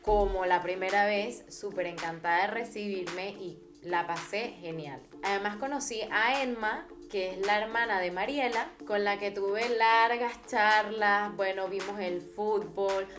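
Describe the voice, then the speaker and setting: A woman's voice: mid-range voice, unhurried, hardly any pauses. A young woman from Venezuela with a Venezuelan Spanish accent, casually retelling a trip for her vlog. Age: young adult. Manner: chatty, lively retelling with quoted dialogue. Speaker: travel vlogger. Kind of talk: casual storytelling. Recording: music playing underneath.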